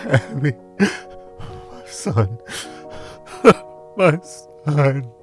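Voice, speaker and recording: Father figure, crying, slow and halting delivery, played by a man, audio drama. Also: fairly high pitch, animated, soft background music, exaggerated voice. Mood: tearful. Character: poor loving father, overcome with emotion. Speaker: Abby's father.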